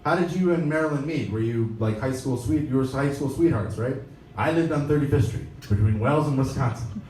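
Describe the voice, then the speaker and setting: A man's voice: mid-range voice, brisk, a little mellow. A man reading an interview aloud at a live event, with wry, casual asides. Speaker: editor giving a reading. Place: indoor live pop-up event at a venue. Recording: echoey, noisy room, distant mic.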